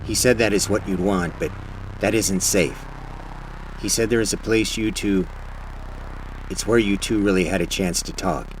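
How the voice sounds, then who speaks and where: Guy playing cagey stranger, deep voice, measured, noisy background, radio drama. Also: steady tone, lightly acted. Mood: guarded, urgent. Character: mysterious, cautious male stranger bearing a message. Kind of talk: audio drama acting.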